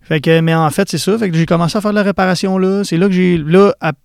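A middle-aged man with a Quebec French accent, his voice a little high and gravelly with fry. He talks in a rambling, halting way and restarts often, recorded close and dry as a podcast guest. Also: steady tone, no room sound. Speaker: podcast guest, tire repair specialist. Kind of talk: casual interview chat.

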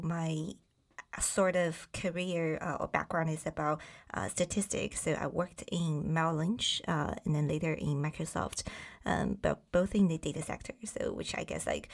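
A young woman from mainland China, whose first language is Bai, talks as a podcast guest. Her voice sits a little low and carries a gravelly fry. She speaks haltingly, with frequent fillers and restarts. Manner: hesitant, many fillers and restarts. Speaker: guest, startup founding member. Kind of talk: casual interview talk.

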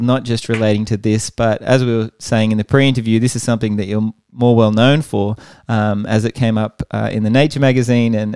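Smooth-voiced male podcast host. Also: medium pitch, a little mellow, dry recording, studio quality. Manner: rambling, many fillers and restarts, friendly. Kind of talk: casual educational interview.

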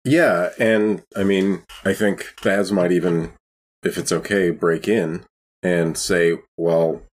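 A man playing a tabletop game, casually and tentatively describing what his character says, with halting phrasing. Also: low voice, fairly even intonation, husky.

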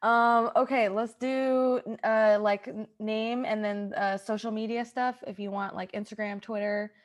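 A woman's voice: on the high side, flat delivery, unhurried. A woman hosting an improvised comedy podcast, talking in a casual, chatty style. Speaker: podcast host.

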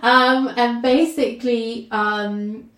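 A woman's voice: a little high, steady tone, slow pace, fairly bright tone. British woman hosting in an echoey room. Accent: British English. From Northern England. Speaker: podcast host, HR consultant. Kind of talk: casual podcast conversation. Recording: roomy echo.

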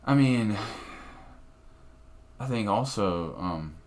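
Low-voiced rambling podcast comedian man. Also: animated, extremely slow. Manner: rambling, profane, restarts often. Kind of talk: casual podcast chat.

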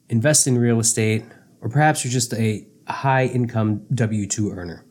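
A man hosts his own podcast, walking listeners through practical advice in a relaxed, conversational way. He is getting over a cold, and his fairly low voice carries a slightly off, under-the-weather sound. He talks plainly and restarts the odd phrase. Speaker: podcast host, accountant. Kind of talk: casual explanatory podcast talk.

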